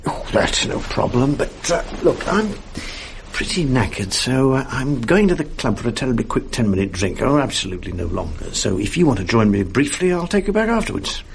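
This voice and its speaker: A man's voice: mid-range voice, very animated, fairly quick, gravelly. An actor playing a world-weary, middle-aged upper-class Englishman in a radio drama, with an English accent and a wry, urbane, self-mocking manner. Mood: casual, tired. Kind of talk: 1980s British radio drama acting, intimate naturalistic dialogue. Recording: faint noise on archive radio recording.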